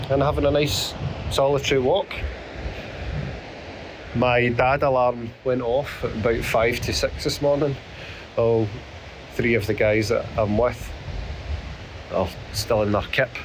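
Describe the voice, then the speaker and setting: A middle-aged Scottish man with a hoarse voice, hosting his podcast as a rambling spoken diary while walking on a beach. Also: medium pitch, level tone. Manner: rambling, self-deprecating, halting. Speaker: podcast host. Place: outdoors on a beach. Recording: outdoor recording with clear background noise.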